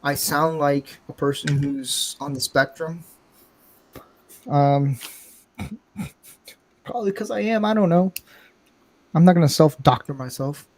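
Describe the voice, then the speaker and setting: A man's voice: fairly high pitch, slow pace, pauses often, a little mellow. A man hosting a casual live podcast, talking bluntly and rambling with self-mocking humor. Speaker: podcast host. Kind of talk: casual live-stream chat.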